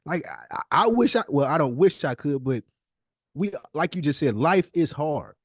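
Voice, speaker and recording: Male podcast co-host, slangy and opinionated, very expressive intonation, dry sound with little room. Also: fairly high pitch, normal speed, no echo. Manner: blunt, slangy, swears. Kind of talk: casual opinionated chat.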